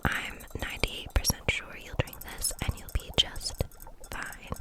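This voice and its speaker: A woman whispering an ASMR roleplay as a gentle, kindly kitchen witch, soothing and unhurried in her manner.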